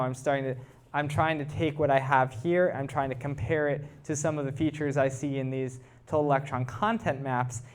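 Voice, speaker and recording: A man's voice: mid-range voice, smooth. A man giving a technical talk to a live audience, explaining things informally and putting questions to his listeners. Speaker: presenter, researcher.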